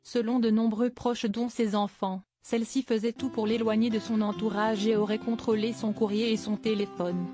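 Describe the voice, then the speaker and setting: A woman reading a scripted celebrity news narration in an even, synthetic-sounding way. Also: on the high side, normal speed, hardly any pauses. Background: background music.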